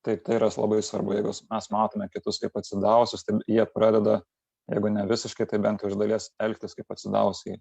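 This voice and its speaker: A man interpreting a lecture phrase by phrase over a video call, rendering each line quickly and plainly. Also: on the low side, completely flat delivery, vocal fry, darkish tone, slightly rough, no echo. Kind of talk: consecutive translation of a lecture.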